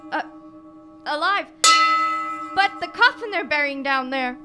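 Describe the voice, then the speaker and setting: A power-mad village postman acted by a woman speaks in a very high voice, drawing words out slowly with gloating menace. It is old-time radio drama, with loud music and bells behind. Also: animated. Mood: defiant, shaken. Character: mad, power-crazed village postman and bell-ringer, a man.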